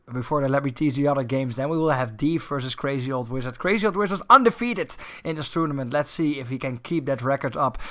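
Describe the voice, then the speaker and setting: Bright-voiced man casting a game tournament. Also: medium pitch, quick pace, few pauses. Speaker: esports tournament commentator and host. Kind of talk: live game commentary.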